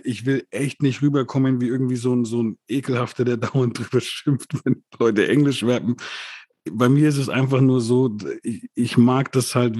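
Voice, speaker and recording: Man, husky voice, dry close sound, casual rap talk, easygoing. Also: mid-range voice, no room sound. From grew up bilingual. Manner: relaxed, laughs often, fillers like "ne?". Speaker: podcast co-host reviewing rap releases. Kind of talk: casual chat.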